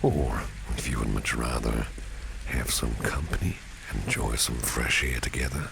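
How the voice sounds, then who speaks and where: Casting: male actor as suave protective boss for ASMR roleplay, extremely low, heavily creaky voice, flirtatious and soft-spoken. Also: measured pace, exaggerated voice. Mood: protective, gentle. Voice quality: gravelly, breathy near-whisper. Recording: steady rain noise behind the voice. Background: rain and street ambience.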